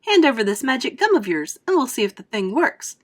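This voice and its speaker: Woman doing a shrill bratty gum-chewing girl. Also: big pitch swings, brisk. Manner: shrill, obstinate, shouting.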